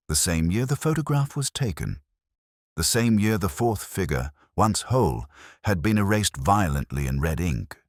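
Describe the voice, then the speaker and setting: Very deep-voiced man narrating detective fiction. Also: dry recording, clean studio sound. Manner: literary, measured, solemn. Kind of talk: scripted first-person detective story narration.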